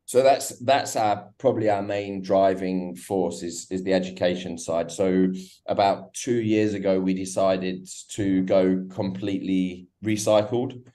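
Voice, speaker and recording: A man's voice: deep voice, steady tone, unhurried, dark tone. A man being interviewed over a remote call, talking with many restarts and hesitations. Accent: foreign-sounding accent, South African company. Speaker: guest, company co-founder.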